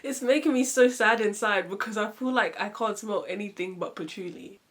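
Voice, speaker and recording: A woman reviewing perfumes in a casual vlog. She sounds nasal and muffled from a cold, and she talks in a chatty, expressive way with lots of fillers. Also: medium pitch, moderate pace. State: congested from a cold.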